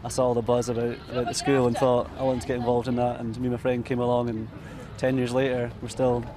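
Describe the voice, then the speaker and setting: A man in his twenties gives a casual interview on a sports field. His delivery is flat, and a crowd can be heard behind him. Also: medium pitch, quick pace. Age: 20s. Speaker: shinty player. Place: open grass sports field.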